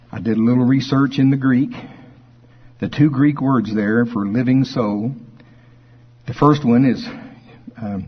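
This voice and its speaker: A man preaching in an informal Bible study, conversational and folksy, slowing with deliberate emphasis as scripture is read aloud. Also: mid-range voice, husky, highs cut off. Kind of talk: informal Bible teaching to a congregation, reading verses aloud. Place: speaking live before a congregation.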